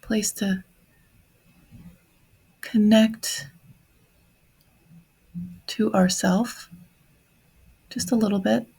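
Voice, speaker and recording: A woman leading a guided meditation in a soft, breathy voice, speaking gently in short phrases with long pauses. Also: medium pitch, steady tone, low-quality recording. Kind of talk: guided meditation on grief, slow and reflective.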